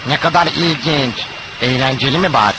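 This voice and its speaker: A man's voice: medium pitch, fairly quick, natural voice. A man voicing a naive, talkative creature, a pirate's eager bumbling sidekick, in a cartoon dub with earnest, oblivious chatter. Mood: earnest, chatty. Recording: very noisy cartoon soundtrack.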